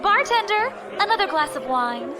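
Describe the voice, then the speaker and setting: A woman's voice: extremely high pitch, sing-song, moderate pace, over loud music. Sardonic young pirate woman, swooping voice. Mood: coy, weary. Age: young adult. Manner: sardonic, playful, feigned sweetness at times. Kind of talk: audio drama acting.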